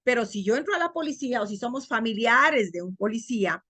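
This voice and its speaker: Woman, teacher, high voice, dry close sound, video call class, quizzes students. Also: lively intonation, pushed and bright, no echo. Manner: asks students questions, repeats key words slowly. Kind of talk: online class lecture.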